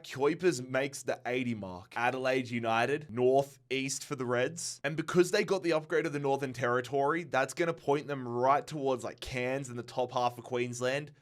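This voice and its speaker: A man hosting a gaming video with excited commentary, his voice nasal and congested from a head cold. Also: mid-range voice.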